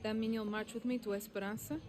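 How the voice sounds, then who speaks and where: A woman's voice: medium pitch, fairly even intonation, quick pace, darkish tone, natural voice. A woman playing a tough, brash female guerrilla commander in a game cutscene. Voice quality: brash, swaggering. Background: game score music.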